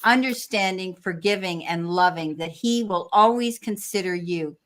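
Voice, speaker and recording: Low-voiced Canadian woman reading tarot. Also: normal speed. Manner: reassuring, calls people "my darling". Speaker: tarot reader, stream host. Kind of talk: live tarot reading chat.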